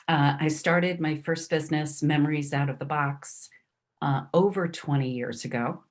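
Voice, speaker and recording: A middle-aged woman speaks slowly in a low voice, guesting on a podcast over a remote call. She talks unhurriedly, with frequent ums and restarts. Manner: unhurried, many "um"s and restarts, laughs easily. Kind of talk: casual podcast conversation.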